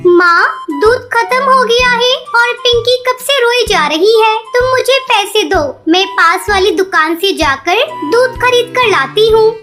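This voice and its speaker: A woman's voice: lively intonation, measured pace, hardly any pauses, bright, forceful tone, heavily acted. A woman voicing a young orphaned girl bird in a cartoon story, sweet, plaintive and earnest in a childlike voice. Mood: dutiful. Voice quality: high, childlike. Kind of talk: Hindi cartoon moral story acting. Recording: music behind the voice.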